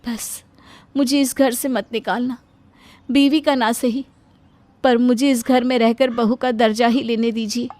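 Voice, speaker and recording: A woman playing a meek, self-sacrificing young bride in an animated story, polite and pleading. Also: a little high, measured pace, no room sound, pitched above the performer's own voice, close to an everyday voice. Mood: hurt, pleading. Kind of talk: Hindi animated story acting.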